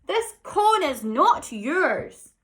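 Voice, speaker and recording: A woman voicing an excitable, hungry young male squirrel in a storybook, crying out in drawn-out shouts. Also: full character voice. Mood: indignant, pleading. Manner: high, shouted, drawn-out cries.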